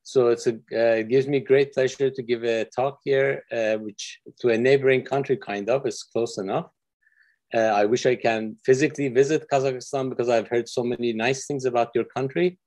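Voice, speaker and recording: A man's voice: mid-range voice, steady tone, normal speed, a little mellow. A middle-aged man from Iran giving a friendly, explanatory online physics lecture over a video call from his office, with frequent fillers. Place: office with windows.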